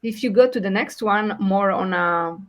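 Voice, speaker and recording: A woman from Italy with an Italian accent, presenting slides at an online project meeting, pausing often with filler sounds. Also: medium pitch. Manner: hesitant, many filler sounds and restarts.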